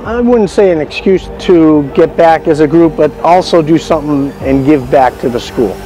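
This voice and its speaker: A man's voice: fairly high pitch, moderate pace, rough recording. A man in his fifties giving interview remarks as an alumnus and founder. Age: 50s.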